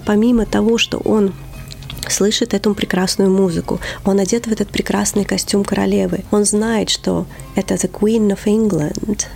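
A woman's voice: medium pitch, creaky, mellow. A woman, an English teacher who runs a children's theater, talking expressively as an interview guest and sometimes dropping to a whisper for emphasis.

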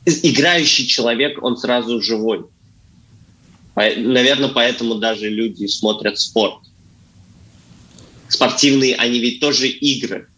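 A man, an actor appearing as a studio guest, answering earnestly and haltingly as he searches for words and repeats phrases. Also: mid-range voice, sharp tone, rough recording. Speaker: actor as talk show guest. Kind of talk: earnest conversational interview answers. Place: radio studio.

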